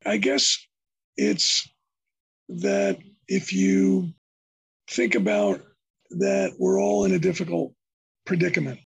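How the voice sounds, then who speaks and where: Gravelly older man, husky and creaky. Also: high-pitched, expressive, slow, long pauses, poor audio. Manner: thoughtful, meandering, restarts phrases. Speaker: interview guest, psychology professor. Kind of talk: conversational podcast interview.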